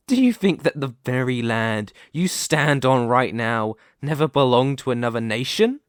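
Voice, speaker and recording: A man's voice: medium pitch, no room sound. Man acting a proud, vengeful demon king, close and dry. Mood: persuasive, challenging. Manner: theatrical, gloating, deliberate with drawn-out emphasis. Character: vengeful, proud demon king back from death, mildly yandere. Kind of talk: ASMR roleplay monologue addressed to the listener.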